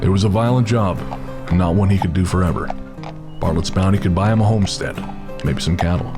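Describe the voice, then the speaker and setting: A man's voice: low-pitched, fairly quick. A man giving scripted narration as the storyteller of a western horror audio drama. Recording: music bed behind the voice.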